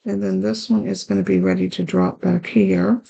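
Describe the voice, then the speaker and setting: A woman with a raspy, hoarse voice hosting a card-making tutorial, chatting along as she works through each step. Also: mid-range voice, little pitch movement, moderate pace, dark tone. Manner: chatty, talks while working with her hands. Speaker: card-making channel host.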